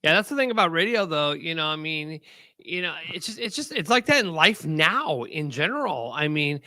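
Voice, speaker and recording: A man's voice: a little high, very animated, moderate pace, sharp tone, no room sound, studio quality. A man who works in radio, hosting a casual chat show in an upbeat way. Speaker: radio host.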